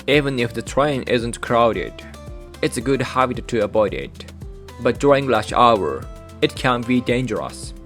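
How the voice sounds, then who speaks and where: Japanese-accented man narrating calmly. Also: mid-range voice. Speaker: channel host. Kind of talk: scripted explainer narration.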